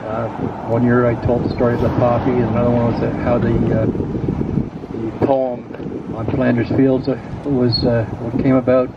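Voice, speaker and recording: A man who is a mayor giving a halting speech to schoolchildren at an outdoor ceremony, with many fillers and restarts. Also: mid-range voice, monotone. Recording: distant, muffled outdoor recording with loud background noise.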